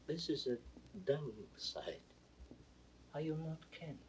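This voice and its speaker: A frail mad old king, imperious and grieving, slow high-ish voice, old noisy shakespeare recording. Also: a little mellow. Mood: weary, confused. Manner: slow declamation, swinging between anguished cries and broken whispers. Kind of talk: 1960s recorded Shakespeare drama, classical stage acting. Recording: distant, noisy vintage drama recording.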